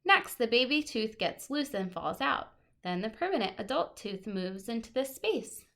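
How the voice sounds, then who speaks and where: A woman with a fairly high voice reads like a teacher sharing a picture book with children. Her delivery is expressive, leaning deliberately on key words, with casual remarks of her own mixed in. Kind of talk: read-aloud of a children's nonfiction picture book with casual asides.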